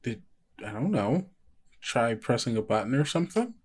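Man as young girl, tabletop game character, curious, breezy, casual, mid-range male pitch. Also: very animated, normal speed, breathy edge. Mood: curious, eager.

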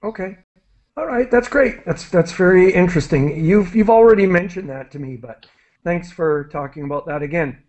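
A man's voice: sits high, lively intonation, normal speed, a little mellow. A middle-aged man wearing a headset, hosting an online English class and explaining things in a friendly way with many fillers and restarts. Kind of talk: live online English lesson. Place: small room indoors. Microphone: headset on a video call. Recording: dull lo-fi video call audio.

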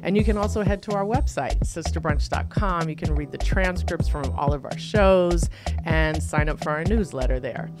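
A low-pitched woman hosts a podcast from home, speaking into a studio mic over a video call. Light music sits under her intro. She moves from a scripted welcome to easygoing, open questions. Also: moderate pace. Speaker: podcast host. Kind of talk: podcast interview. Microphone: studio mic with headphones.

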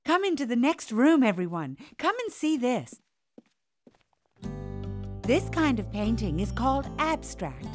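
A woman's voice: a little high, sing-song, a little slow, long pauses. A woman voicing a kindly, encouraging animal kindergarten teacher in a children's cartoon, with music behind.

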